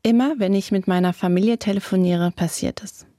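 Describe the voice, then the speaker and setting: A woman reading her own radio commentary in a measured, reflective and lightly ironic way. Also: mid-range voice, steady tone, mellow, dry recording. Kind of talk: scripted radio essay. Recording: dry studio recording.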